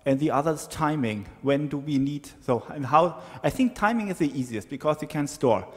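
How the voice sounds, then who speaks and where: A man's voice: a little high, darkish tone. A man in the audience asking a panelist a question, methodically listing his points. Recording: reverberant conference hall.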